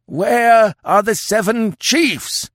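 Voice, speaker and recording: Old warrior chief, very high screechy voice, frenzied, accusing, shouted fantasy storytelling. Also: male voice, drawn-out words, no room sound, studio quality, exaggerated voice. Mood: furious accusing. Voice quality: shrill, screeching. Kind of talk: dramatised fantasy audiobook character.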